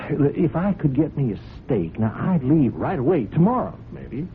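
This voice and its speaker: A man's voice: medium pitch, very animated, a little slow. A sly country blackmailer in an old radio play, on a muffled, noisy recording. His folksy voice swings up and down widely, all false friendliness and hinted threat. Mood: wheedling. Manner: insinuating, falsely amiable, chuckling, hesitant phrasing. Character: sly, folksy male horse-farm hand turned blackmailer. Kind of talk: radio drama acting.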